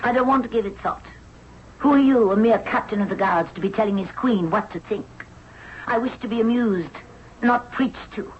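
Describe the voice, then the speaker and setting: A woman's voice: a little high, moderate pace, natural voice. An actress playing a proud, commanding young queen of ancient Egypt in a 1970s radio drama. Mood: angry, imperious. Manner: haughty, commanding. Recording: dull, noisy old radio broadcast recording.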